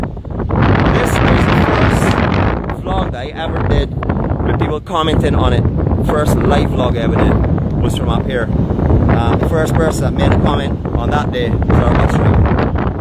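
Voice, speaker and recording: A man in his early fifties with a Barbadian accent, chatting casually and playfully as he hosts a live outdoor walking vlog. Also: medium pitch. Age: early 50s. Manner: playful, teasing, tongue-in-cheek boasting. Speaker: live vlog host and tour guide. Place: outdoors on windy seaside cliffs. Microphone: handheld rig microphone with furry windscreen. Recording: loud gusting wind muffling and distorting voice.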